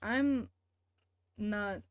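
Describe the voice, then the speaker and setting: A woman's voice: medium pitch, extremely slow, no room sound. A woman game streamer chatting casually on a livestream, talking to herself in short blunt questions and reactions. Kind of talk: casual livestream chat over gameplay. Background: game music.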